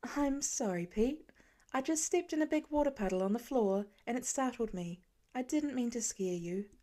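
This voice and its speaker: A woman voicing a patient, caring mother with a gentle, reassuring manner in a story reading. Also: a little high, lively intonation, moderate pace, lightly acted. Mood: apologetic, explaining. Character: patient, caring mother of young and teenage children. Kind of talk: audiobook character voice.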